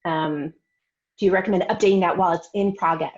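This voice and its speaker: A woman moderating a workshop, asking careful, measured questions over a video call. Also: lowish voice, steady tone, a little mellow. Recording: dull lo-fi call audio.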